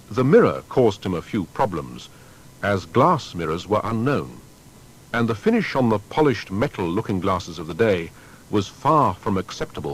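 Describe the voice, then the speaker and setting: A man narrating a scripted historical biography in a formal storytelling manner with deliberate emphasis. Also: fairly low pitch, slight background noise, poor audio.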